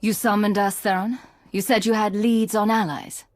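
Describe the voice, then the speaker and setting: An actress playing an authoritative, composed woman commander in a video game, measured and controlled with a husky voice. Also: mid-range voice, steady tone, no room sound, clean studio sound, lightly acted. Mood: businesslike. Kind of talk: video game cutscene acting.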